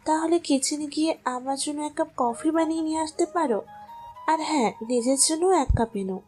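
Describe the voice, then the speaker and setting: A woman's voice: high-pitched, normal speed, mellow, soft background music, close to an everyday voice. A woman playing a seductive, domineering female boss in a romantic audio story, coaxing and commanding as she speaks.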